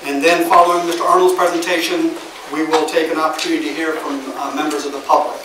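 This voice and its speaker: A man with a Midwestern American accent leads a city council meeting as mayor, moving through agenda items in a measured, procedural way. His voice sits a little high for a man and comes from well off the mic. The room is very echoey, with a steady noise floor. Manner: measured procedural delivery with fillers. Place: council chamber. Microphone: far from the mic. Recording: roomy, distant, noisy chamber audio.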